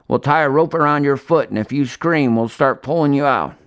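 Gruff old country homeowner for a storybook reading, done by a male narrator: blunt, folksy, heavyset. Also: mid-range voice, fairly quick, no echo, clean studio sound, pitched above the performer's own voice, natural voice. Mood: cautioning.

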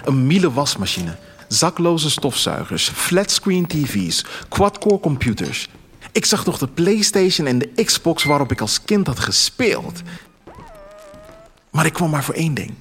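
A man narrating a scripted first-person audio drama in a measured, reflective storytelling manner. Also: on the high side, sing-song, fairly bright tone, slightly rough. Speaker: author reading own story. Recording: music under the voice. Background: music bed.

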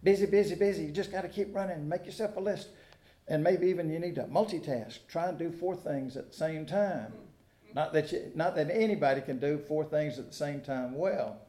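An American man preaching a sermon in a folksy, conversational way, pausing deliberately between phrases. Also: a little high, dark tone. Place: church sanctuary during a service. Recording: echoey room sound.

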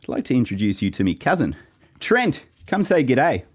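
Radio play DJ acted by a man: sly, matey Australian, stammering, mid-pitched. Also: very animated, moderate pace. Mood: matey, hesitant. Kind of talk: amateur radio play cold read.

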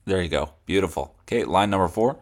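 A man's voice: low-pitched, lively intonation, fast talker. A man chatting casually as a podcast host, joking, teasing and swearing freely while he encourages the other person. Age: adult son of the other speaker.

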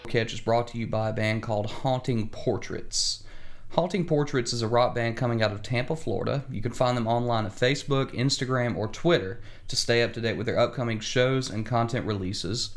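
A man's voice: medium pitch, a little fast, faint music behind. A man hosting a podcast, chatting casually and laughing easily. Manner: laughs often, easygoing. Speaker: podcast host. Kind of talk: casual chat.

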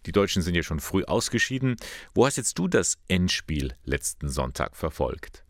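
A male interviewer with a low voice. He puts his questions and brief remarks in a conversational tone, speaking directly to his guest. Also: very animated, normal speed.

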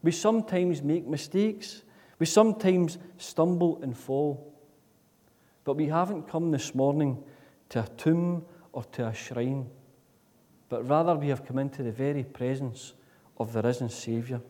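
A man preaching a sermon in a church, earnest, with repeated rhetorical questions that swing between loud and soft. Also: fairly high pitch, measured pace. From Scotland. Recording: long reverb of a church hall.

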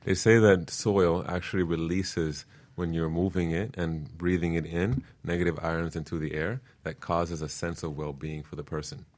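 A man's voice: low voice, level tone, normal speed, few pauses, darkish tone. A man speaking as a guest teacher on a radio interview, earnest and informative as he explains.

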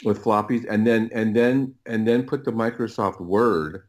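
Middle-aged man, deep voice, casual interview storytelling, halting, repetitive, rambling. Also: a little mellow. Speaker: podcast guest, writer and audio producer.

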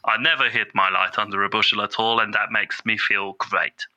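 A man's voice: fairly low pitch, steady tone, fairly quick, sharp tone, rough recording. A man playing an earnest, bumbling caller on a comedy podcast, fussy and overly polite. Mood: apologetic. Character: earnest bumbling male bushel-farmer caller.

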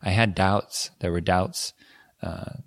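A man co-hosting a podcast, telling stories in an easy, rambling way. His voice sits fairly low. The sound is dry and close, with no room around it. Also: slow pace, no echo. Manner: relaxed storytelling with frequent fillers. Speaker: podcast co-host. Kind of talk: casual conversational podcast.

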